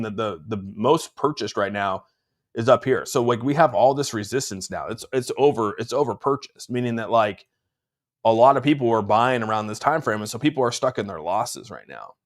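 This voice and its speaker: A man hosting a crypto livestream, talking over charts and answering chat in a rambling, laid-back way, slightly congested. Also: lowish voice. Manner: laid-back, rambling, says "dude" often. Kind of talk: casual chart talk answering live chat. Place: room at home.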